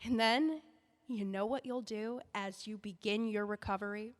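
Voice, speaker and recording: A woman's voice: mid-range voice, fairly even intonation, normal speed, big-room sound, lightly acted. A woman playing a pushy, scheming book editor in an audio drama, talking in a bossy, breezy, persuasive way.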